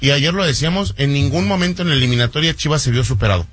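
A man's voice: fairly high pitch, lively intonation, rapid-fire, a bit bright, slightly rough, no echo, faint music behind. A Mexican man co-hosting sports talk radio, teasing his colleagues and setting up jokes with questions.

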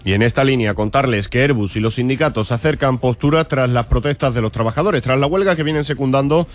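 A man's voice: medium pitch, fast talker. A man presenting a scripted radio news bulletin. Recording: music bed behind.

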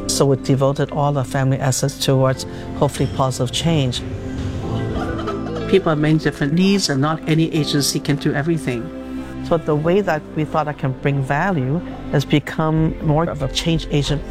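A woman's voice: sits low, steady tone, a little fast, over music. A woman in her seventies from Hong Kong, a doctor reflecting as an interview guest, who laughs often as she recounts memories. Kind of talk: reflective conversational storytelling.

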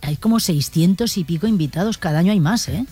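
A woman actress, chatting on the radio in a quick, joking, self-mocking way. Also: fairly low pitch, animated, warm tone, slightly rough. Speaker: actress guest. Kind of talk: casual radio chat.

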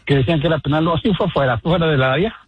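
A man with a Mexican accent calling into a sports radio show, rambling and halting as he chats about a match. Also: fairly high pitch, muffled recording. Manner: rambling, halting, laughs often. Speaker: caller. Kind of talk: chatty phone-in about football.

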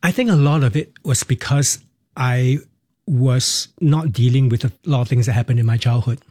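A mellow, warm-toned man in his fifties with a Singaporean accent speaks as an interview guest. He tells his story candidly and reflectively, in short phrases that often restart. The sound is close and dry, with no room around him. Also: mid-range voice, normal speed, no room sound. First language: Cantonese. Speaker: interview guest, musical theatre performer.

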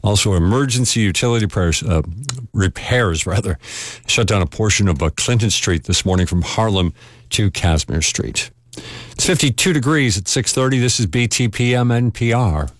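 A man's voice: fairly low pitch, moderate pace, no room sound, studio quality. A man working as a local radio news host and reporter, reading announcements and reports. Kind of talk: live traffic notes and scripted radio reporting.

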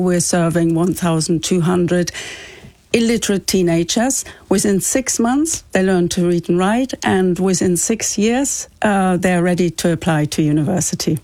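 A woman's voice: lowish voice, dark tone, no echo. A woman speaking as a charity co-founder and studio guest, explaining things in an interview. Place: radio studio.

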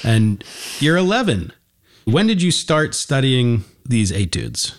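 A man's voice: mid-range voice, wildly swooping pitch, slow pace. A man speaking as an encouraging, complimentary host, asking simple guiding questions in a conversational broadcast interview. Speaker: host interviewing a young musician.

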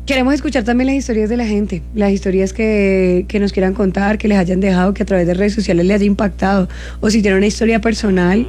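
A woman's voice: mid-range voice, fairly even intonation, fast talker, dark tone, a little hiss or hum. A woman from Cali with a Colombian accent, chatting casually as a live radio host. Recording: music playing behind the voice. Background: background music.

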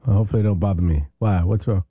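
A man's voice: lowish voice, rapid-fire, dry recording, narrow, tinny audio. A man who is a town mayor and a guest on a radio talk show, speaking in an easygoing, rambling way. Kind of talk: casual radio interview.

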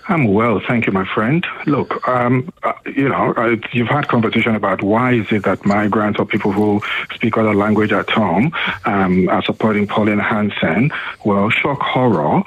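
A man calls in to a radio show over a thin, phone-like line, his voice husky and a little breathy. He speaks earnestly and builds his emphasis as he makes his points. He was born abroad. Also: on the low side, normal speed, a bit bright. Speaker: caller. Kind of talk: talkback radio call.

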